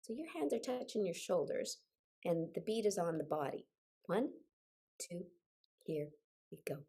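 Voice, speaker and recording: Older lady with a bit of a cold leading choir practice on a video call, slow paced, encouraging, tells everyone what to do. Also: on the low side, big pitch swings, creaky, mellow, slightly rough. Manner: encouraging, directive. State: getting over a cold, occasional cough. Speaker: choir leader and singing instructor. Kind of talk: instructional group singing session with personal asides.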